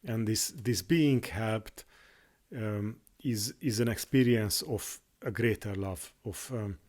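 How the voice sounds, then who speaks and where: A man whose first language is Hungarian, talking in an unscripted monologue on his channel, halting with many restarts and fillers. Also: lowish voice, a little mellow. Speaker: YouTuber.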